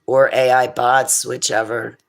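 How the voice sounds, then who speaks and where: A woman with a raspy voice chats on her live stream in a loose, wandering way. She takes her time over each thought. Her manner is unhurried and conversational. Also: a bass voice, flat delivery. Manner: rambling, faith-filled musings. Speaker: internet radio host. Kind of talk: casual livestream chat.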